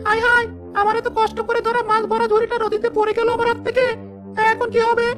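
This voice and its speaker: Casting: timid village fisher in animated folklore, extremely high childlike pitch, music behind. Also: female voice, fast. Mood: terrified. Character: timid villager carrying home a fish catch. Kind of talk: animated folk tale character acting.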